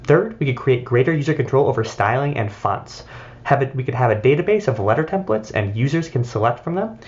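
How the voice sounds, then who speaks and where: A man's voice: mid-range voice, animated, fairly quick, darkish tone, lo-fi sound. A man giving a scripted project presentation.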